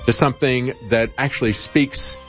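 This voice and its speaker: A man's voice: medium pitch, steady tone, moderate pace, fairly bright tone, soft background music. A middle-aged man hosting a talk show, giving informal, rambling, conversational news commentary. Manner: rambling, conversational, chuckles at his own asides.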